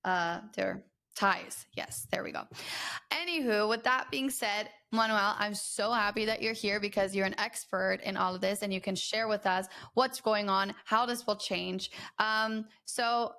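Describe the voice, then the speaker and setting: A woman hosting a trade podcast, chatty and rambling with many fillers, her voice slightly raspy from a recent illness. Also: medium pitch, fairly even intonation, measured pace, pushed and bright. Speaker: podcast host. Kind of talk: casual podcast intro.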